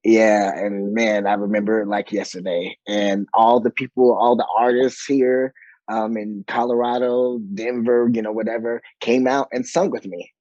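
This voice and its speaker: A low-voiced man in his forties from Monterey, California, chats as a podcast guest, playful and quick to laugh, with plenty of ums. The sound is dry and a little dull, lo-fi. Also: little pitch movement, a little mellow, no echo. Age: 40s. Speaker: guest, choir director. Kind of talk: casual interview chat.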